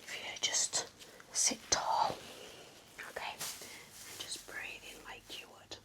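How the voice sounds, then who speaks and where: A woman speaking in a gentle, breathy, mostly whispered voice, playing a reassuring nurse in a soft-spoken ASMR medical roleplay. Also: slow pace, low-quality recording. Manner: gentle, reassuring bedside manner. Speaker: ASMR performer playing a home-visit nurse.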